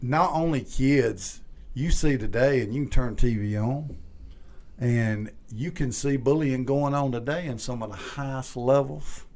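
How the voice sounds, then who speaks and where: A Southern man in his late forties talks things through in a loose, rambling way. He stumbles over his openings and repeats words while he gathers his thoughts. His voice sits at an ordinary middle pitch for a man. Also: a little slow, darkish tone. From Southern US, Georgia. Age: 40s. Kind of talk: casual chat.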